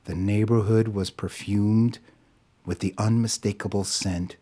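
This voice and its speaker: A man narrating an audio drama in a measured, deliberate storytelling style. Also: fairly low pitch, steady tone, dark tone.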